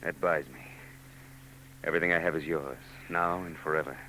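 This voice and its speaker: An actor playing a hardboiled private eye in a 1940s radio drama, dry and sardonic with quick wisecracks. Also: male voice, very deep voice, steady tone, bright, forceful tone, slight background noise, exaggerated voice, low-quality recording. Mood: wry. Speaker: narrator and lead.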